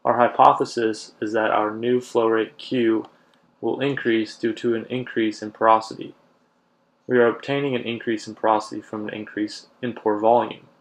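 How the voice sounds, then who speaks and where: A young man from Kentucky, an engineering student reading a class presentation quietly and levelly. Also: lowish voice, little pitch movement, normal speed, rough recording. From Lexington, Kentucky. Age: young adult.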